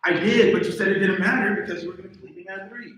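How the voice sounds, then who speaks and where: A jittery, suspicious young boyfriend, acted by a man at a script read, with a high voice and a sharp, bright edge. He sounds exclamatory and is picked up from afar in a very echoey room. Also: racing, distant mic, a little hiss or hum. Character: Kevin, jittery suspicious young boyfriend covering up his alarm. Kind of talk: table read of comedic short film script. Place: live table read at an event in a room.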